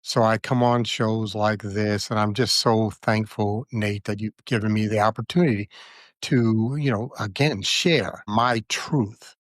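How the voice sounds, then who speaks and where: A man in his sixties speaks with an earnest, measured warmth, pausing and filling gaps as he thinks. His voice sits on the low side. The sound is dry and close, with no room around it. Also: fairly even intonation, no room sound. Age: 60s. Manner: earnest, measured, with frequent fillers. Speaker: podcast guest. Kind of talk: reflective conversational interview.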